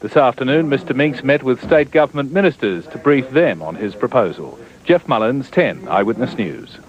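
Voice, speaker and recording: A man narrating a television news report in a steady, matter-of-fact way. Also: mid-range voice, normal speed. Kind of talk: scripted news report voice-over. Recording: dull old broadcast tape.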